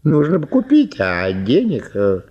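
An actor in a radio drama playing an impoverished small landowner, fawning, plaintive and gushing with tearful gratitude. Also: male voice, mid-range voice, huge pitch swings, husky, muffled recording, full character voice. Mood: plaintive wheedling. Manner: obsequious, plaintive, gushing, drawn-out exclamations. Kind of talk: theatrical Russian radio drama.

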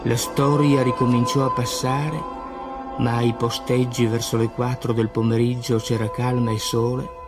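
A man giving a solemn poetry recitation, declaimed in measured short phrases. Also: lowish voice. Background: music.

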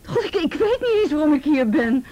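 A woman's voice: big pitch swings, brisk, mellow. A woman acting a wary, self-deprecating sixteen-year-old schoolgirl in a radio play, hesitant and repeating her words. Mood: uneasy, evasive. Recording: faint hiss and low rumble.

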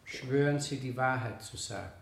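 A man's voice: mid-range voice, moderate pace, slight background noise, lightly acted. A man playing a solemn presiding judge in a radio drama, formal and ceremonial. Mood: solemn, official. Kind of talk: radio play courtroom dialogue. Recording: echoing courtroom with crowd murmur.

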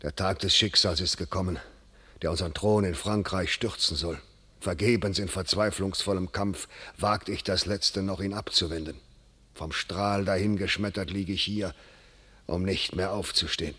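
Dying medieval general: low, forceful, husky, declamatory, bitter and proud, classical verse drama. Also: male voice, steady tone, normal speed, heavily acted. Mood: desperate, proud. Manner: declamatory, weighty, solemn. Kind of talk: classical German verse stage acting.

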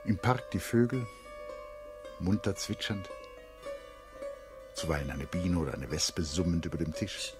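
A calm storyteller narrates a literary radio drama. His voice is very low, his phrasing deliberate and observant, with music clearly behind him. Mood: descriptive.

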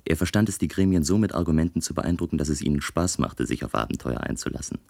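An actor playing a wistful, stubborn male inventor who narrates a radio play in a measured, reflective way. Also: a bass voice, animated, creaky, a little mellow, full character voice. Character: male inventor, wistful, stubborn, recounting his bold project. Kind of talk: German radio play acting.

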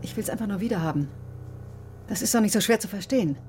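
Sardonic woman doctor, acted, medium pitch. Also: animated, fast talker, darkish tone, faint music behind, natural voice. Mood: wary, polite. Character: bitter, sharp-tongued woman doctor recounting her ordeal. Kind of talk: radio drama acting, confessional narration and dialogue.

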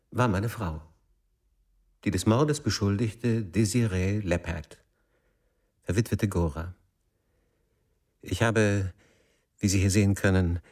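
A brooding, jilted middle-aged husband in a radio play. His voice sits fairly low and he talks very slowly, with solemn, halting pauses and a desperate undertone. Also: a little mellow, full character voice. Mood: grimly calm. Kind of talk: radio drama acting.

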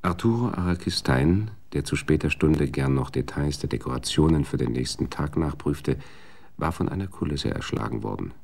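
Casting: Mann als Erzähler, sehr tiefe, heisere Lage, gemessener Ton. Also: male voice, rumbling low, animated, moderate pace, gravelly, a little mellow. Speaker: narrator. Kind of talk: scripted radio drama narration.

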